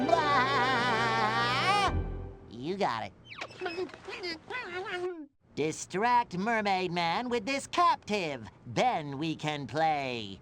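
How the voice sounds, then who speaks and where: A woman voicing a childish, self-declared evil hermit in a cartoon game, gleeful and theatrical, with boastful asides. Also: medium pitch. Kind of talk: video game cartoon voice acting. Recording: music behind.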